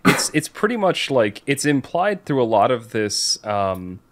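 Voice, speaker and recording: A man co-hosting a podcast, casually discussing a book and thinking aloud in a halting way. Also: mid-range voice, big pitch swings. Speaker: podcast co-host.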